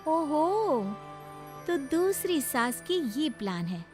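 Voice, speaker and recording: A woman voicing a suspicious, bold young daughter-in-law in an animated story, knowing and confrontational. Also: sits high, slow pace, faint music behind, exaggerated voice. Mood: sly suspicion. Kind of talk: animated Hindi story voice acting.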